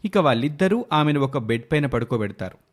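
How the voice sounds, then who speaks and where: A man's voice: mid-range voice, animated, a little fast. A man reading scripted narration for a moral story as its narrator.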